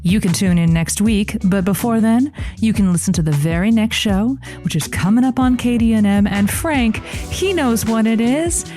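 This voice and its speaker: A woman's voice: mid-range voice, dark tone, faint music behind, lightly acted. A woman playing a suggestive, innuendo-loving radio advice host in a comic audio drama. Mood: sultry, affectionate. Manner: slow, suggestive delivery. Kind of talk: comic radio show acting.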